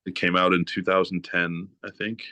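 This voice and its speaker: Very deep-voiced man, rambling casually. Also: moderate pace, no echo. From New England. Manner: relaxed, rambling with many "like" and "you know" fillers. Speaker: guest guitarist. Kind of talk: casual podcast interview.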